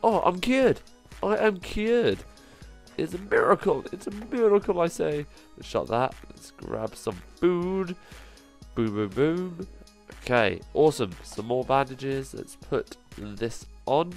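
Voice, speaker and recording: A man with a cold, sounding nasal, giving excitable commentary as he plays through a survival game, full of quick exclamations. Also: a little high, vocal fry, soft background music. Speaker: gaming channel host. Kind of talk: gameplay commentary.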